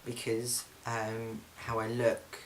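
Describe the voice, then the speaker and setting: A man's voice: mid-range voice, completely flat delivery, slow pace, heavy background noise. A young man, talking through a casual video diary update with lots of ums and restarts, recorded indoors at home. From England. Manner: chatty, frequent ums and restarts. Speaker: vlogger. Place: flat, indoors.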